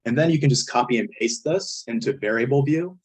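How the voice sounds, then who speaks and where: A man with a hoarse, failing voice who often clears his throat, walking step by step through a statistics software tutorial over a screen recording. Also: mid-range voice, fairly quick. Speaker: statistics instructor. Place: indoors at a computer, voice-over on screen capture.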